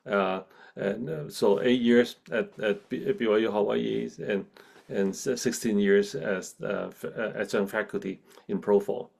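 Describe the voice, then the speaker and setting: A middle-aged man from Hong Kong with a Chinese accent, a guest in a casual interview who talks in a halting way with many restarts and fillers. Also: on the low side, steady tone, vocal fry, darkish tone, slightly rough. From China, grew up in Hong Kong. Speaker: guest, professor. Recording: video call.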